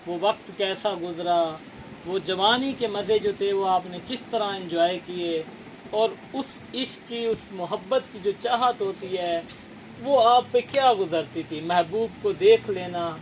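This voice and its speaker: A man's voice: sits high, measured pace, a little hiss or hum, low-quality recording. A man from Punjab, Pakistan hosting an interview in a casual chat, speaking in an earnest, lecturing way with formal words and many fillers. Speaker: interviewer, channel host.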